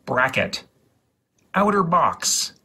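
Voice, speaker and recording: A man reading an instruction leaflet aloud in a deliberate, deadpan way, drawing out and repeating some words. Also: fairly high pitch, gravelly, husky. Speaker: host of a gaming livestream channel.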